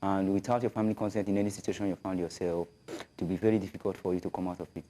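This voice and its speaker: 20yo guy, low dark voice, earnest and measured. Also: level tone, a little hiss or hum. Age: 20s. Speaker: rescued youth interviewee.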